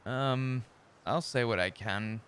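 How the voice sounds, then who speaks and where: A man's voice: medium pitch, takes it slowly, a bit bright, slight background noise. A man streaming a roleplay game, chatting in character in a casual, swearing manner. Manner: casual, swears often, chuckles.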